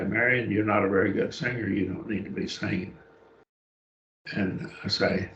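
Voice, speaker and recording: An older man with a raspy voice teaching a Bible study class, explaining words one by one and often trailing off softly. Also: fairly low pitch, distant mic, a little hiss or hum. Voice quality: raspy after throat surgery. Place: church classroom. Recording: echoey room, dull lo-fi sound.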